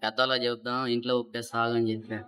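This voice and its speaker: A man's voice: mid-range voice, little pitch movement, racing, sharp tone, clear, resonant tone. A young man making a casual prank phone call in a pleading manner. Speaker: prank caller.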